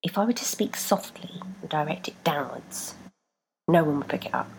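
A woman voicing a timid traveller who whispers softly to her own shoes. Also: deep voice, lively intonation, normal speed, gravelly, slight background noise, poor audio. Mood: hushed. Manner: hushed, whispered.

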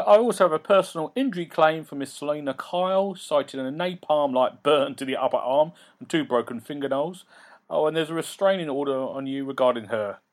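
A man voicing a nervous, fussy male city lawyer who stammers and talks fast. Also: close to an everyday voice. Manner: stammering, high and hurried.

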